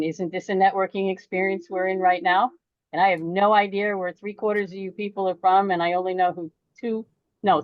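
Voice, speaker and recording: Woman from near boston, frank, wry, low voice, dry muffled call audio. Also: no room sound. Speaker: participant. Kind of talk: casual video call discussion. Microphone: video call.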